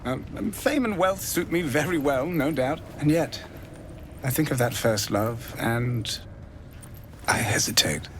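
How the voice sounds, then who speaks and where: Actor as a slow, theatrical painter, swooping delivery. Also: male voice, medium pitch, lively intonation, a little hiss or hum, natural voice. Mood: wistful, troubled. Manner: florid, theatrical, hesitant. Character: florid, lovelorn male fantasy painter, theatrical and self-dramatizing. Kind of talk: video game character dialogue. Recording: game dialogue over background music.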